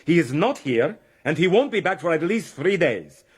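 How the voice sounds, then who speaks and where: An actor playing a stern, suspicious baron in a radio drama, speaking in a formal, condescending way. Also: male voice, fairly high pitch, big pitch swings, normal speed, husky. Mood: firm, impatient.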